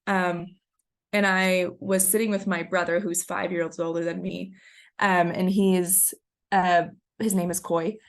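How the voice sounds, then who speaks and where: A woman's voice: on the low side, little pitch movement, a little slow. Slightly scratchy woman presenting a webinar. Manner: chatty, storytelling, frequent ums. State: getting over a cold, a little scratchy. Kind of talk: conversational presentation.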